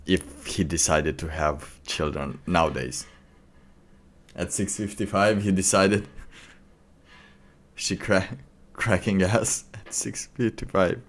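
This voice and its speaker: A man giving casual, off-the-cuff commentary as a streamer, in a halting, rambling way. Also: sits low, fairly even intonation. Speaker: streamer reacting to a video.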